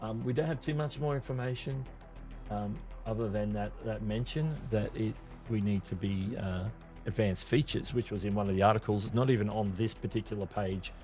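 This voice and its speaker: A man's voice: lowish voice, moderate pace, dark tone, soft background music. A man hosting a live creator news stream, talking loosely with lots of restarts and fillers, reading viewer chat aloud. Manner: rambling, many restarts and fillers. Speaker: host.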